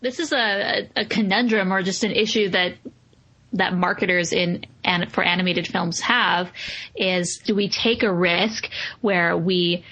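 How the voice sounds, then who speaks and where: A woman's voice: fairly low pitch, steady tone, measured pace, sharp tone, muffled recording. A woman co-hosting a podcast, talking casually as she reviews a film. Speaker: podcast co-host.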